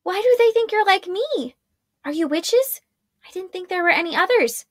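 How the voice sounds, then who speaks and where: Young witch girl, guilt-ridden, high-pitched, pleading, woman reader, dry close sound. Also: animated, moderate pace, no room sound.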